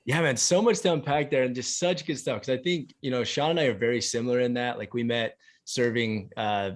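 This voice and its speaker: A man's voice: mid-range voice, steady tone, brisk. A man hosting a golf podcast, chatting eagerly and rambling with lots of filler words. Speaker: podcast host. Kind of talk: casual interview chat.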